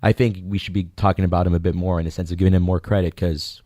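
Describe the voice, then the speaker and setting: A man's voice: deep voice, level tone, fast, mellow, dry recording, clean studio sound. A man co-hosting a sports podcast, thinking aloud with frequent restarts. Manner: searching, restarts mid-thought. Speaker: podcast co-host. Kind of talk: casual sports chat.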